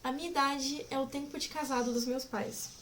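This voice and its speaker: A woman's voice: sits high, fairly even intonation, brisk, slight background noise. A woman in her twenties chatting casually to camera as a vlogger, giggly and rambling. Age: 20s.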